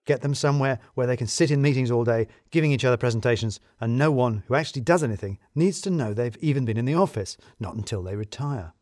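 A man's voice: medium pitch, quick pace, hardly any pauses, darkish tone, dry recording. A British man giving a scripted first-person comic narration with dry, wry humour and emphatic phrasing. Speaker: narrator of an audio fiction serial.

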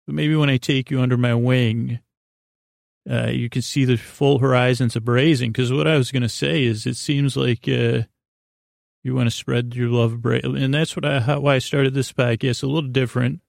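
A man hosting a bedtime podcast, talking in a meandering, halting, silly way with frequent repeats and a creaky voice. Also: medium pitch, steady tone, dry recording, studio quality. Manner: silly, playful banter with an imaginary guest. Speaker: podcast host. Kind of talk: rambling bedtime podcast monologue.

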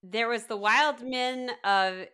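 A woman's voice: on the high side, slow pace, bright, forceful tone, clean tone. A woman co-hosting a podcast in casual chat, informal, salty and wry in the way she talks. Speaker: podcast co-host.

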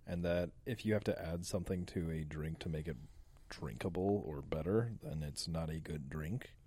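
Deep-voiced, blunt male podcast guest. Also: moderate pace, mellow, no echo. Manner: blunt, opinionated. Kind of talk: casual chat.

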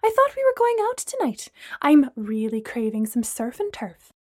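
A friendly, eager young woman, played by a woman narrator in an audiobook. Her pitch is high and lively. She comes across as polite and easygoing. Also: normal speed, no echo, clean studio sound. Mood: cheerful, easygoing.

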